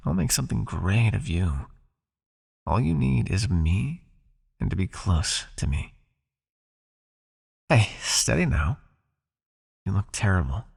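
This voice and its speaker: A man's voice: fairly low pitch, gravelly, slightly rough, full character voice. A man playing a smug, possessive vampire crime lord in an ASMR roleplay, with a taunting, silky, condescending delivery. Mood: soothing, possessive. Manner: taunting, silky, condescending with sighs and soft shushing.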